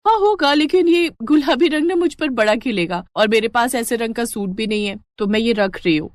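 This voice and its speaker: A woman's voice: on the high side, fairly quick, dry recording, studio quality, close to an everyday voice. A woman voicing a covetous young college-going sister-in-law, wheedling and entitled, in a storybook drama. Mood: insistent, entitled.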